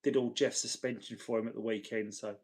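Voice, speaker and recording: A man hosting a casual livestream, chatting chummily with viewers, his voice tired and getting gravelly. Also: fairly low pitch, fairly even intonation, a little fast, a little mellow, a little hiss or hum. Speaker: livestream host. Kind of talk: casual livestream chat, reading and answering viewer messages.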